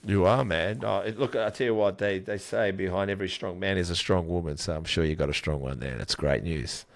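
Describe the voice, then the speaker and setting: A man hosting a podcast, asking questions and backing up his guest with many quick yeahs. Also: low voice, no room sound. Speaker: podcast host. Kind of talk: casual interview chat.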